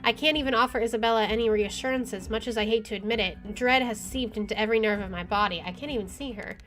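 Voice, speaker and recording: A woman game streamer with an expressive, excitable delivery, reading aloud and commenting as she plays. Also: a little high, quick pace. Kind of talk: dramatic reading aloud and let's play commentary. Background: faint game music.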